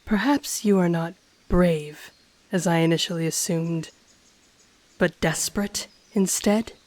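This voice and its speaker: An ancient, haughty dragoness in human form acted out by a woman speaks in an intimate audio roleplay. Her voice is lowish and breathy, often sinking into a whisper. She takes her time with each phrase, teasing and condescending in a seductive way. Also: a little mellow, no echo, natural voice. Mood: haughty, imperious. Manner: slow, deliberate, condescending, teasing. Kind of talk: intimate audio roleplay, scripted character acting.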